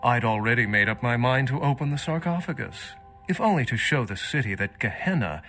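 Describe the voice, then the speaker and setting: An actor playing a wry, worldly old vampire scholar in a video game, speaking in an erudite, measured and sardonic way. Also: male voice, mid-range voice, lively intonation, fairly bright tone, soft background music. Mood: sardonic amusement.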